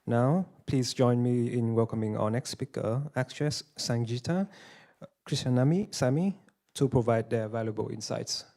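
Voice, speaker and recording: A man hosts a conference as emcee, polite and formal as he introduces the next speaker. His voice is mellow and at a middle pitch. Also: unhurried, gravelly. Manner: formal, polite, slightly hesitant. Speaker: master of ceremonies. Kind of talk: formal event hosting. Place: conference hall.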